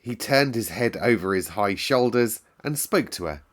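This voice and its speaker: A man reading a classic novel aloud in calm, measured narration. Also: fairly low pitch. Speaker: storyteller.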